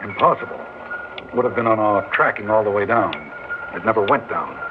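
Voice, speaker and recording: A man's voice: fairly low pitch, fairly quick, natural voice. A man playing a matter-of-fact professor. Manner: flat, matter-of-fact explaining. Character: puzzled male scientist professor, matter-of-fact. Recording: tinny old radio broadcast with hiss.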